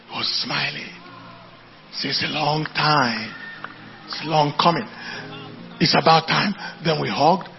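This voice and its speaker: A man's voice: on the high side, creaky, highs cut off. A man with a Nigerian accent preaching and telling anecdotes, driving points home with emphatic repetition. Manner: emphatic repetition, declaiming to congregation. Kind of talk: animated sermon with anecdotes.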